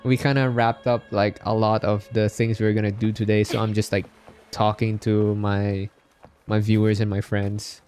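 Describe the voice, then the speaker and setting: Young male game streamer, lowish voice, friendly and laid-back, casual chat, faint music behind. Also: little pitch movement, normal speed, a little mellow, clean tone.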